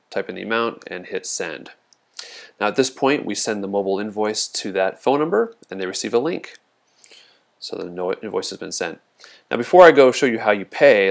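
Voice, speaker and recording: A man presenting a casual software walkthrough as a product demonstrator, explaining things informally step by step. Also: fairly low pitch, vocal fry. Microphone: voice-over recorded over a screen demo.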